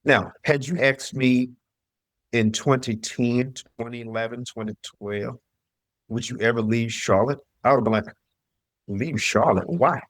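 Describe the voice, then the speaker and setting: A man's voice: medium pitch, fairly even intonation, measured pace, dry recording. A middle-aged man from the southern US telling his personal story as an interview guest, emphatic and repeating phrases as he voices his own past thoughts. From southern US (Mississippi, North Carolina).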